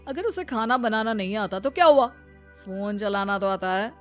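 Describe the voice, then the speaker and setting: A woman's voice: medium pitch, expressive, normal speed, fairly bright tone, close to an everyday voice. Female voice actor as smug modern young son, casual cartoon-story dialogue with background music. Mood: defensive.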